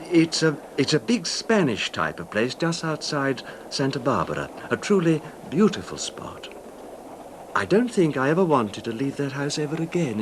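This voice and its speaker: An actor playing a courteous, besotted middle-aged rich husband in a 1970s radio drama, speaking haltingly with reflective pauses. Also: male voice, medium pitch, noisy background. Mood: dreamy, reminiscent.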